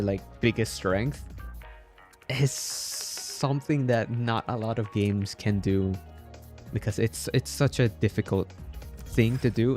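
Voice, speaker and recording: A man from the Philippines chatting casually on a livestream, halting and repeating his words, polite and appreciative. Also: fairly low pitch, darkish tone. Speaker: game streamer. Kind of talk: casual livestream chat with viewers.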